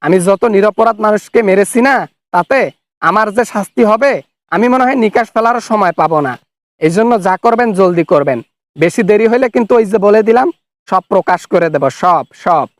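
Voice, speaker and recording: Guy doing a defiant cartoon official on the run, really high voice, accusing and threatening, dry sound. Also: expressive, brisk, dry recording. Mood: urgent. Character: angry, defiant fugitive male official, funny cartoon caricature. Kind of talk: comic cartoon dub, phone argument.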